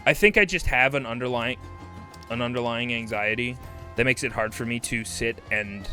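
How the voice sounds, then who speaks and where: Animated man chatting on a game livestream. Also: medium pitch. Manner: animated, exclamatory, chatty with sweary asides. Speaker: livestream host. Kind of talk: casual game livestream chat.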